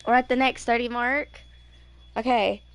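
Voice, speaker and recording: High-voiced young woman streaming a game. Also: steady tone, moderate pace, clear, resonant tone, no room sound. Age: 20s. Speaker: streamer playing a video game. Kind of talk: casual game commentary, reading quest text aloud. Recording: game music behind the voice. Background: game music.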